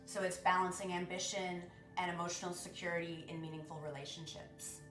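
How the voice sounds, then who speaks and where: A woman hosting a guided meditation, speaking to listeners in a measured, instructive way as she gives spiritual guidance. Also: lowish voice, little pitch movement. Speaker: guided meditation and sound bath host. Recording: roomy echo with music. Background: music.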